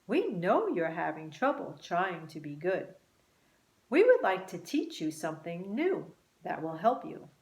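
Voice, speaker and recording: A woman voicing a kind, wise butterfly in a children's storybook, gentle, encouraging and instructive. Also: fairly low pitch, sing-song, measured pace. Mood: reassuring. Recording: roomy, echoing room sound.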